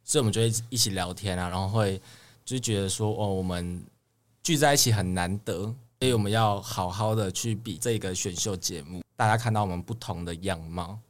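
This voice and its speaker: A man's voice: low voice, brisk. A young man with a Taiwanese Mandarin accent, chatting casually as an idol trainee guest. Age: young adult. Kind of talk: casual podcast chat.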